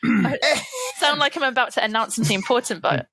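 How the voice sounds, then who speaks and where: A woman on a gaming podcast panel, chatting casually and rambling a little, her voice going hoarse. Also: fairly high pitch, lively intonation, brisk, crowd noise. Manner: rambling and self-deprecating, with frequent fillers. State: losing her voice.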